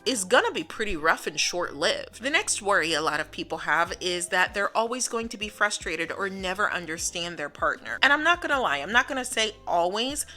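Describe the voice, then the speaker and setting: A woman, a native English speaker, hosting her channel and giving earnest, expressive advice in a casual talk to camera, with emphatic rhetorical questions. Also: medium pitch, brisk, few pauses. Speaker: channel host.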